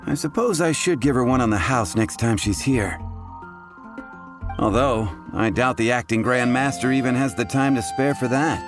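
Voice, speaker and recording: Cast: a man voicing a wry bartender, mid-pitched and blunt, with game music under him. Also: moderate pace, lightly acted. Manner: teasing, wry, matter-of-fact. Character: adult male tavern bartender, wry and blunt. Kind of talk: game voice acting. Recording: game audio with music behind.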